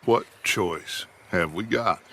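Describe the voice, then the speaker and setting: An actor playing a middle-aged American outlaw gang leader in a video game, commanding and theatrical, with a rousing, oratorical way of speaking. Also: male voice, low-pitched, slow pace, sharp tone, heavily acted. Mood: pressing, defiant. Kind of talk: video game cutscene acting.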